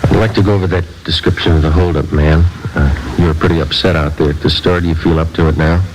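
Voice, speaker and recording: An American actor playing a terse, stoic police sergeant in a radio crime drama, clipped, deadpan and matter-of-fact. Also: male voice, extremely low pitch, fast, low-quality recording. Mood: steady questioning. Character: terse, stoic male police detective sergeant on night watch. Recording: old radio recording, loud music behind.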